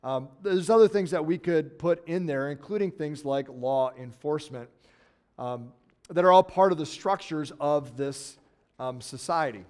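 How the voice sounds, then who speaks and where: A man's voice: fairly high pitch, unhurried, a little mellow, smooth. A man with an American accent preaching a conversational sermon, reading scripture aloud and explaining it earnestly. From United States. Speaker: pastor.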